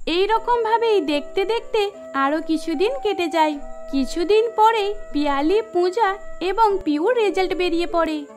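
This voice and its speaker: Casting: female cartoon story narrator, extremely high voice, scripted delivery over music. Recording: music bed under the voice.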